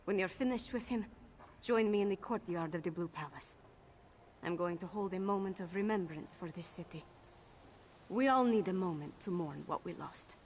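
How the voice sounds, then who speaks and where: A woman's voice: fairly low pitch, normal speed, darkish tone, a little hiss or hum, lightly acted. An actress playing a resolute, grave and caring female warrior leader in a video game. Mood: grave, determined.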